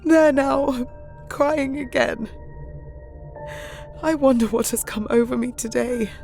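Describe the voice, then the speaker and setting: Young Victorian wife, highish voice, devoted, anxious, wistful, audio drama journal, soft music behind. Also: female voice, steady tone, slow, vocal fry, exaggerated voice. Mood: anxious, sorrowful. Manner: emotional, wistful.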